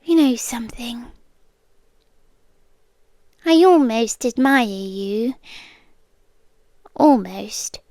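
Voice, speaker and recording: A woman with a British accent playing a possessive, softly menacing yandere girlfriend in a hushed, intimate ASMR roleplay, close to the microphone. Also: a little high, lively intonation, no room sound, exaggerated voice. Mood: taunting, mock admiring. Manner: hushed, often whispering, deliberate pauses.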